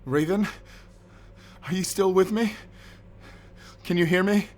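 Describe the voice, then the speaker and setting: An actor playing a devoted, defiant lover in an emotional cyberpunk audio drama. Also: male voice, high-pitched, takes it slowly. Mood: pleading.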